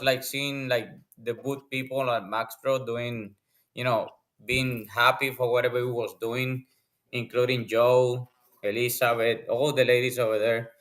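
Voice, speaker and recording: A man in his forties from Puerto Rico with a Puerto Rican accent, chatting excitedly as a podcast guest over a video call and often restarting his sentences. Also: medium pitch, normal speed. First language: Spanish. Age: 40s. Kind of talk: casual chat.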